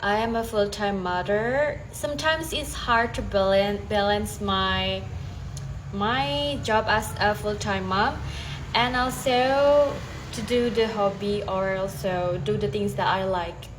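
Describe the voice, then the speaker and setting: Slow, hesitant Indonesian woman. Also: medium pitch, background noise. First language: Bahasa Indonesia. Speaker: mother practicing English. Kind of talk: casual conversation practice.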